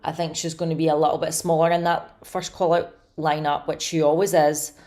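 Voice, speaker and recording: A woman with a slightly hoarse, raspy voice, chatting casually and eagerly to camera about her contest predictions. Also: low voice, level tone, fairly quick, darkish tone. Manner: chatty, enthusiastic, many fillers. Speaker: bodybuilding commentator.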